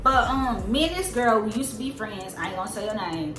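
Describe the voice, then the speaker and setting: A woman in her twenties with a soft, squeaky voice, telling a story in a casual, rambling way with lots of fillers. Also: animated, brisk. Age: 20s. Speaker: vlogger. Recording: roomy echo with loud music behind. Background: music.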